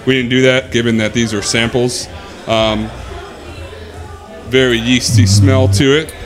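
A man in his thirties with a big, deep voice, a guest describing craft beer in a taproom, halting with many fillers. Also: steady tone, soft background music. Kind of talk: casual beer tasting talk. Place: sports bar taproom. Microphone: handheld mic.

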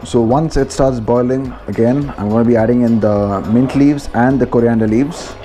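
A man's voice: mid-range voice, normal speed, dark tone. A man with an Indian accent giving a casual, informal step-by-step cooking narration as a home vlogger in a kitchen.

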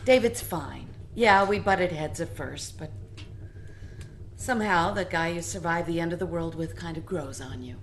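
An actress playing a cynical, prickly survivor of the apocalypse in an audio drama, blunt and sardonic. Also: female voice, lowish voice, moderate pace, close to an everyday voice. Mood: irritated. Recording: clear background ambient noise.

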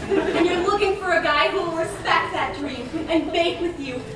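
A woman's voice: very high voice, moderate pace, crowd noise, background noise. A young woman playing a perky scientist who lectures on rom-com rules in an emphatic, scripted comic stage style. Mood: perky, mock-earnest. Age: young adult. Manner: theatrical, emphatic delivery. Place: stage in a large theater. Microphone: far camera. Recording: distant echoey theater with audience.

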